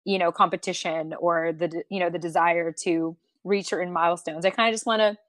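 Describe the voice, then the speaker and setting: A woman's voice: on the low side, fairly even intonation, a little fast, a bit bright, no echo, studio quality. A woman hosting a podcast, chatting in an agreeable, reflective way with small restarts. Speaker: podcast host. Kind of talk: casual chat.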